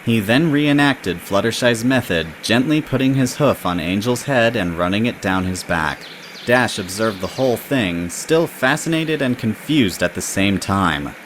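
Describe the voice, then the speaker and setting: Low-voiced man narrating a story. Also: animated, a little slow, fairly bright tone, slight background noise.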